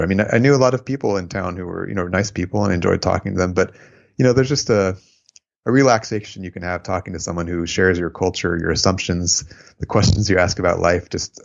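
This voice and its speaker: Deep, gravelly American man, reflective. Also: highs cut off. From United States. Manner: reflective, unhurried, many fillers, laughs at own stories. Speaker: interviewee, former volunteer abroad. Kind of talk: oral history interview.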